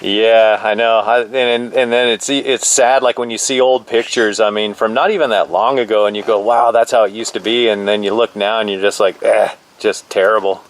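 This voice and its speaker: A man talks in a fairly low voice during a casual two-way radio conversation. He is agreeable and halting, padding his sentences with repeated filler words as he goes. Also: steady tone, rough recording. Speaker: amateur two-way radio operator.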